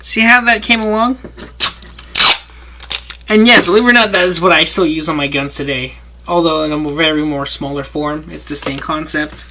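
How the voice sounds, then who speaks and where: A man's voice: fairly high pitch, normal speed, sharp tone. A man walking through a paper craft step by step, rambling and breaking off his own sentences as he works. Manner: rambling, talks while working, self-interrupting. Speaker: paper-craft tutorial maker. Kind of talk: casual hands-on tutorial. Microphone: camera mic. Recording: noisy home camera audio with clicks.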